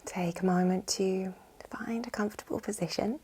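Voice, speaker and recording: A woman with a soft, breathy, whispery voice, soothingly guiding a yoga nidra relaxation with gentle instructions. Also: mid-range voice, steady tone, moderate pace. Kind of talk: guided relaxation meditation.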